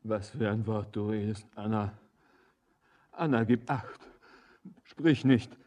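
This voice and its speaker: An actor playing an alarmed, bewildered young lover in a verse radio drama, speaking in urgent, broken, weighty phrases. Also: male voice, on the low side, slow pace, a little mellow.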